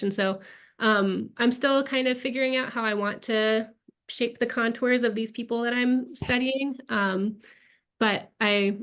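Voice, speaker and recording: A woman's voice: medium pitch, fairly bright tone. Hesitant young woman answering questions. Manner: thoughtful, hesitant, many ums and restarts. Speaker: guest presenter answering questions. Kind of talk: academic Q&A answer.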